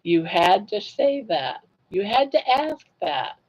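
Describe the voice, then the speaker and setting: A woman's voice: mid-range voice, very animated, takes it slowly, a little mellow, husky, lo-fi sound. An older woman talking casually on a livestream, chatty and full of laughter as she tells stories. She often turns to answer comments from her viewers. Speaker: livestream host.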